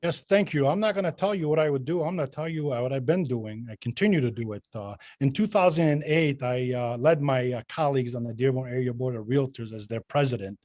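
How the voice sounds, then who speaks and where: A mature man making an emphatic, self-promoting campaign pitch as a candidate at a forum. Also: darkish tone, no room sound. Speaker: mayoral candidate, realtor.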